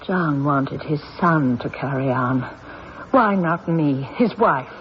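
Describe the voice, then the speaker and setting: An actress playing a bitter, ambitious widow with a dramatic, aggrieved manner in a radio mystery. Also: female voice, sits low, lively intonation, takes it slowly. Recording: dull, noisy old radio broadcast.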